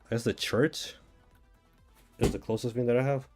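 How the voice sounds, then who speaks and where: A man's voice: mid-range voice, fairly even intonation, normal speed, darkish tone, soft background music. A man from Brazil with a Brazilian accent, chatting casually and thinking aloud in a rambling way as he streams a game. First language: Portuguese. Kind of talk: casual chat while gaming.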